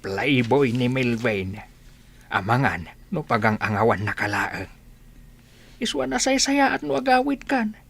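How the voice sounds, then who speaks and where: A man's voice: medium pitch, big pitch swings, unhurried, gravelly, fairly bright tone, exaggerated voice. A man playing a meddlesome, scolding old villager with an Ilocano accent in a radio drama. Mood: scolding, admonishing. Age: older.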